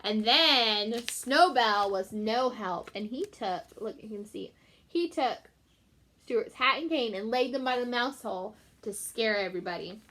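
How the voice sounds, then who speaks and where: Bright-voiced woman reading to children. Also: on the high side, a little slow, slight background noise. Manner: expressive, simple teacherly explaining. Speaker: teacher reading aloud to pupils. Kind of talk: children's storybook read-aloud with chatty asides.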